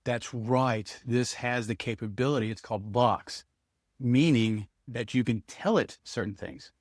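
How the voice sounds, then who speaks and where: A man's voice: medium pitch, unhurried, dry recording, clean studio sound. A man giving a casual hands-on product review, speaking to viewers and thinking aloud as he demonstrates.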